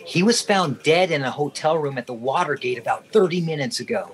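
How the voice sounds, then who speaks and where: A man performs a determined secret agent in a radio drama. His fairly high voice is animated and urgent, with clipped, purposeful delivery. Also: fairly quick, lightly acted. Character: eager, determined male government secret agent. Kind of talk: comedic radio play acting.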